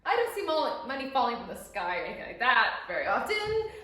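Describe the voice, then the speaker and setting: Female children's teacher, expressive and emphatic, lively high voice, very reverberant space. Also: quick pace. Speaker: guest storyteller and children's teacher. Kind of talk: explanatory chat for children. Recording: very echoey room.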